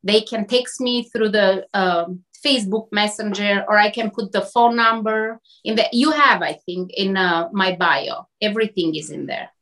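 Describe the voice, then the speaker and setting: A woman's voice: medium pitch, steady tone, normal speed, hardly any pauses, fairly bright tone. A woman from Albania with an Albanian accent, an interview guest and coach giving earnest motivational talk in short emphatic phrases.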